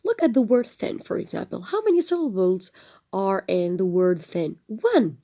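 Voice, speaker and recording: A woman teaching an English grammar lesson, explaining patiently with frequent fillers and trailing into a soft whisper at phrase ends. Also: medium pitch, sing-song, moderate pace, creaky. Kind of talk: recorded textbook lesson.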